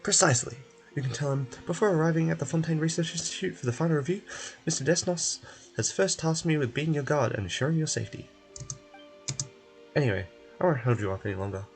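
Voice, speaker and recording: A man's voice: a little high, fast, pitched above the performer's own voice, close to an everyday voice. A man voicing a fussy, wordy, coaxing male bureaucrat in a video game. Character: fussy male bureaucratic clerk, pleased and pushy, nursing hurt leg. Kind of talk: video game character voice-over. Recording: music behind.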